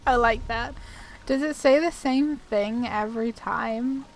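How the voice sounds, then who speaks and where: A woman's voice: on the high side, slow, a little hiss or hum, low-quality recording. A woman doing casual video game playthrough commentary, chatty and self-deprecating as she thinks aloud. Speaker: gaming YouTuber.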